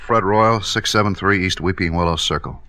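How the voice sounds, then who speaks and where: An actor playing a brisk, plain-spoken American gym owner in an old radio drama. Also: male voice, sits low, over loud music, close to an everyday voice. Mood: brisk, frank. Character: brisk, matter-of-fact male gym owner. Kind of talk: 1950s radio drama acting. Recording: noisy old radio recording.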